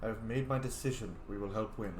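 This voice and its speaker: A man's voice: lowish voice, steady tone, fast, echoey room, slight background noise. A man roleplaying his character in a fantasy video game, earnest and plain with casual asides. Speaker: player voicing his character.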